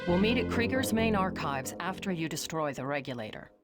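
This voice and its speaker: A woman's voice: lowish voice, animated, brisk, loud music behind the voice, close to an everyday voice. A woman acting a cool, confident intelligence agent in a video game, clipped and matter-of-fact in delivery. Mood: businesslike.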